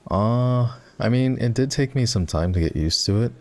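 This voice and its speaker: A man playing an ex-boyfriend turned boss in an intimate roleplay ASMR, talking in a halting, conversational way. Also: medium pitch, animated, close to an everyday voice. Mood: reflective. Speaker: voice actor.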